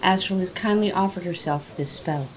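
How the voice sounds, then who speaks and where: A woman playing a composed spellcaster in an audio drama, with a formal, deliberate manner. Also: fairly low pitch, natural voice, poor audio.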